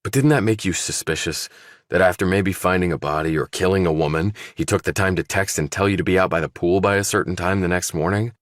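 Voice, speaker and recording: A man's voice: low voice, fast talker, dry recording, clean studio sound, voice pushed up, lightly acted. A man voicing a guarded, probing ex-soldier turned investigator, terse and questioning, in an audiobook. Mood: suspicious, insistent. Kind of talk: audiobook character dialogue.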